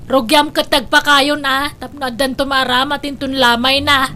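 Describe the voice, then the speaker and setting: A woman with an Ilocano accent acting in a comedy drama, talking in a sharp-tongued, defensive way. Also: sits high, little pitch movement, normal speed, slight background noise. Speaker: drama voice actor, daughter-in-law caring for an old mother.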